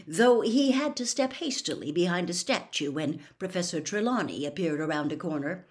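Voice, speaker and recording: Woman narrating a storybook, slightly low voice. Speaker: audiobook reader.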